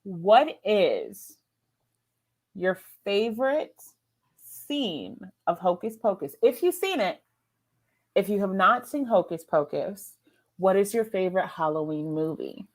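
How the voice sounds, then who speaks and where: A woman's voice: medium pitch, sing-song, takes it slowly. A woman hosting a book readalong livestream in an informal, chatty way, talking to co-hosts and viewers. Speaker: livestream host.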